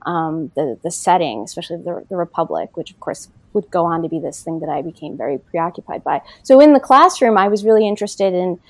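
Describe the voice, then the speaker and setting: Woman professor on a podcast, lowish voice, lots of ums while she thinks, very dry close sound. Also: animated, brisk, hardly any pauses, dry recording. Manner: thoughtful, many ums and you knows. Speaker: author, political science professor. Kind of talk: academic interview.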